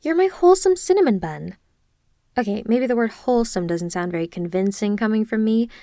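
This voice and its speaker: A woman's voice: mid-range voice, expressive, fairly quick, mellow, no room sound, clean studio sound, natural voice. A woman playing an obsessive, clingy yandere kidnapper in an intimate ASMR roleplay, speaking in a gushing, hesitant, awkwardly earnest way straight to the listener. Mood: adoring, gushing.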